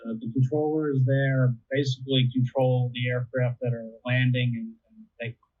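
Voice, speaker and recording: A man's voice: mid-range voice, flat delivery, moderate pace. A man being interviewed, explaining things in a casual, conversational way. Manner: conversational, explains with everyday analogies. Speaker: interviewee explaining air traffic control. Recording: tinny narrowband phone-like line.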